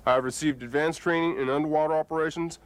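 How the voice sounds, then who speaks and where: A man, an army medic, giving a scripted self-introduction and quickly reciting his skills. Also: fairly high pitch, steady tone.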